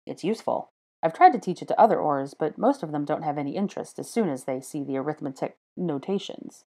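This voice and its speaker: A woman voicing a composed, posh young man, measured and formal in delivery. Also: low-pitched, animated, dark tone, husky, exaggerated voice. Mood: instructive.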